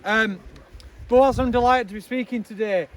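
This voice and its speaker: A young man giving a loud, chatty speech outdoors at a charity launch, with many ums and joking asides. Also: extremely high pitch, steady tone, normal speed. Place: outdoors in the snow with a crowd. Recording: noisy outdoor recording.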